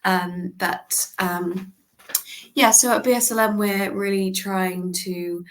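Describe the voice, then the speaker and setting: A woman's voice: mid-range voice, steady tone, slow pace. A woman with a head cold, sounding blocked up, explaining an organization's aims on a video call, with many fillers. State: congested with a cold. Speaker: organization representative.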